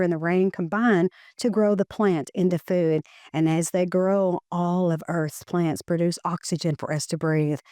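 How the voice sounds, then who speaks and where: A woman reads a children's educational picture book aloud in measured, deliberate phrasing. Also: on the low side, warm tone, clear, resonant tone, no room sound, clean studio sound.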